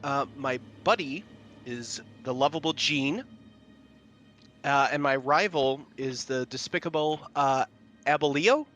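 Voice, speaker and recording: A man's voice: a little high, a little slow, a bit bright, faint music behind. A man chatting casually and enthusiastically, with some slang, as a player in a tabletop game. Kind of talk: casual chat.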